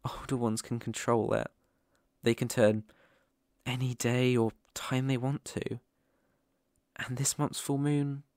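A British man playing a protective, dominant lone werewolf in an ASMR roleplay, soothing and deliberate with frequent pauses. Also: medium pitch, no echo, clean studio sound. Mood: serious, explaining.

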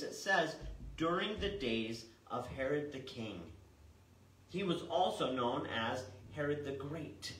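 Older male preacher, bright voice, distant mic. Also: a little high. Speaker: pastor. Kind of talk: sermon with scripture reading. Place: small church sanctuary, indoors. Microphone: far camera. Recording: roomy, distant mic, faint noise.

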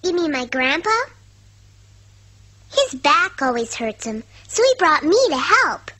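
A woman's voice: very high voice, very animated, slow pace, pauses often, bright, forceful tone, no echo, exaggerated voice. A woman playing a cheerful, polite young girl in an anime dub, childlike in manner.

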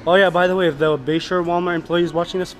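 A man, vlogging casually in an excitable, slangy, profane way. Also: high voice, fast talker. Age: 20s. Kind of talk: casual vlog chat to camera and friends. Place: big store and parking lot at night. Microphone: action camera at arm's length.

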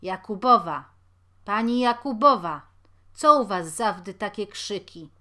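A woman's voice: medium pitch, expressive, measured pace, close to an everyday voice. A woman voicing an elderly male landlord who mutters and grumbles indignantly.

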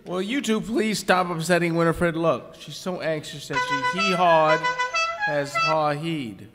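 A man's voice: a little high, a little slow, loud music behind the voice, lightly acted. A man playing a fussy, fretful tourist who dotes on a pet, in a radio skit. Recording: echoey hall.

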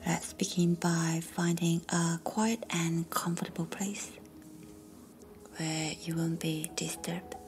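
A woman leading a guided meditation in a soft whisper, slow and calm. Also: on the low side. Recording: faint music behind.

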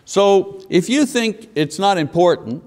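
Animated man preaching, lapel mic in church. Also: high voice, measured pace. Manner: animated, gesturing, asks the congregation questions. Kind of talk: sermon. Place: church sanctuary at a wooden pulpit. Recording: long hall reverb.

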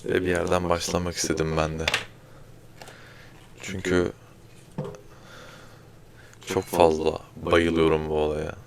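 A man talking casually to viewers as an amateur ASMR creator, in a hesitant, halting way with drawn-out pauses. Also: low-pitched, flat delivery, creaky, noisy background.

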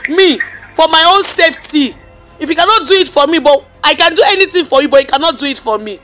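Nigerian man, extremely high pitch, indignant, complaining, rhetorical questions, English mixed with Pidgin, music behind. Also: animated, fast talker, pushed and bright.

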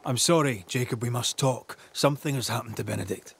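Steady, compassionate male ghost hunter. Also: mid-range voice, husky. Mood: firm, coaxing. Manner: calm, coaxing, deliberate. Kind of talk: dramatic video game acting.

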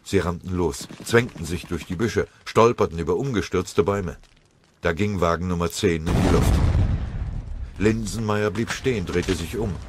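A man's voice: low voice, moderate pace, noisy background. A man reading a dramatic war story as scripted audiobook narration.

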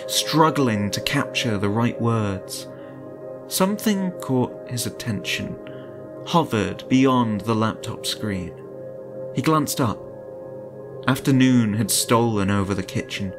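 A man narrating a horror story in a dramatic, suspenseful and deliberate audiobook style. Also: medium pitch. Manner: suspenseful, deliberate, theatrical delivery. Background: music.

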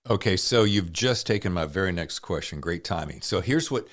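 Male interviewer, middle-aged, low register, candid and colloquial, conversational podcast delivery. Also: lively intonation, normal speed, a bit bright.

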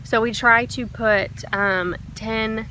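A young woman vlogging casually from her backyard, chatting with frequent "um"s and soft laughs, talking into a phone held at arm's length. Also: a little high, steady tone, slow, slight background noise, rough recording. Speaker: homestead vlogger. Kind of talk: casual vlog chat. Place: outdoors in a sunny backyard.

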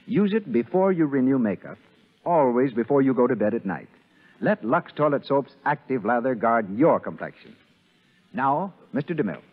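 A man announcing in a scripted 1930s radio style, delivering a sponsor's pitch. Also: sing-song, moderate pace. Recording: muffled, narrow 1930s radio broadcast.

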